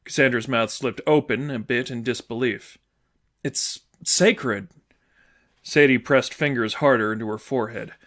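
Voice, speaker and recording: A man's voice: medium pitch, fairly even intonation, brisk, few pauses, fairly bright tone. A man reading scripted fiction aloud as the narrator of a fan fiction audiobook.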